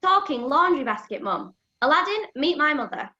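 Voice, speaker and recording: A woman's voice: sits high, very animated, normal speed, pushed and bright, heavily acted. A woman playing a haughty, curious young princess in rhyming pantomime, on a lo-fi recording. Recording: dull lo-fi sound.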